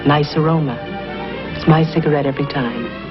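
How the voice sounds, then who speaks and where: A woman's voice: low-pitched, a little fast. A woman delivering a scripted testimonial in a 1950s television commercial. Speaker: movie star giving a cigarette testimonial. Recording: muffled 1950s TV broadcast. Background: music.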